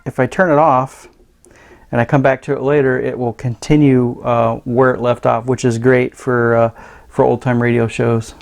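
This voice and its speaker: A man gives a casual hands-on product demo. His mid-pitched voice has a gravelly fry to it. He speaks in a halting, folksy, understated way. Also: little pitch movement. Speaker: radio gadget reviewer.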